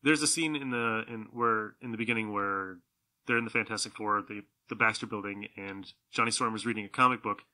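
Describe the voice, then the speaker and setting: A man's voice: fairly low pitch, a little fast, pushed and bright, poor audio. A man hosting a podcast in a casual, chatty group conversation. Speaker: podcast host.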